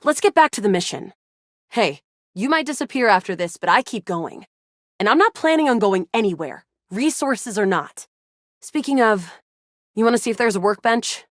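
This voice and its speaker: A woman's voice: mid-range voice, animated, moderate pace, no echo, clean studio sound, close to an everyday voice. A woman voicing a feisty, sardonic but loyal sci-fi gamer heroine in a video game, casual and slangy. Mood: wistful, determined. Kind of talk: video game character acting.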